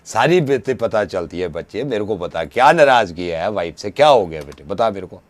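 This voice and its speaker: A man's voice: lowish voice, lively intonation, a little fast, pitched below the performer's own voice, natural voice. A man playing an insistent, avuncular old uncle on a radio prank call, repeating his questions. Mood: calm probing. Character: nosy old uncle soothing a quarrelling young husband.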